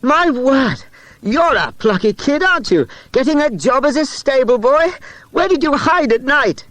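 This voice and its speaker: A man voicing a bold, excitable schoolboy sleuth in a children's audiobook, eager and exclamatory. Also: very high voice, a little slow, no echo, voice pushed up, exaggerated voice. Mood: excited, shouting.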